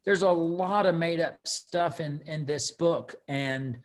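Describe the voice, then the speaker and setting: A man hosting a casual book read-along as co-author, explaining in a rambling, halting way with repeated words. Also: a little high. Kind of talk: casual live book discussion.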